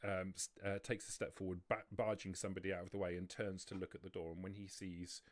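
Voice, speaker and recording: A man narrating a tabletop roleplaying game as game master, improvising in a halting way. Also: low-pitched, little pitch movement, no echo.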